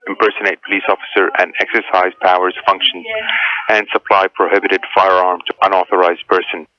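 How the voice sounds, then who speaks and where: A man speaks formally as a police spokesperson. His voice is low and flat in intonation, carried on a tinny phone line. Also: fairly quick. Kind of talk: formal reading of charges.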